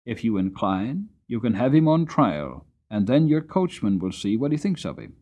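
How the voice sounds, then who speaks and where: A man voicing a kindly old grandfather, a gentleman farmer, in a dramatic storybook reading with a deliberate, encouraging, courteous manner. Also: mid-range voice, sing-song. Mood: courteous, reassuring. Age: older.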